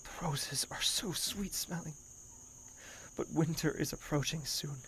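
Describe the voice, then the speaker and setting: A man's voice: fairly high pitch, unhurried, slight background noise. A man voicing a brooding lonely young royal. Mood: wistful. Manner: quiet and reflective, sometimes whispering. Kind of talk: first-person audio drama narration.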